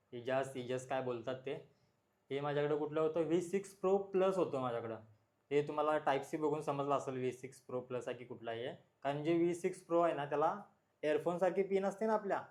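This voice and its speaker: A man's voice: on the high side, darkish tone. A man, speaking as a vlogger. He chats casually to viewers, often repeating himself as he explains what he is showing. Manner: informal, repetitive, explains while showing things. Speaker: vlogger, motorcycle channel host. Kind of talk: casual product showcase chat to viewers.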